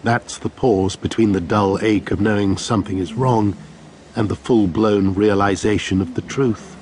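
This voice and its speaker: A man's voice: on the low side, steady tone, moderate pace, a little mellow, slight background noise, natural voice. A man acting in a radio drama as a bitter, alienated dissident, giving an intense inner monologue. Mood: brooding despair. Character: bitter, alienated male dissident brooding inwardly.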